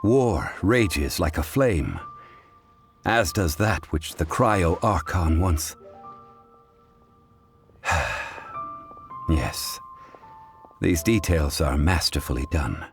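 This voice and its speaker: An actor playing a learned, composed gentleman in a video game, speaking in a formal, measured, eloquent manner. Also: deep voice, lively intonation, vocal fry, faint music behind, heavily acted. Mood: admiring.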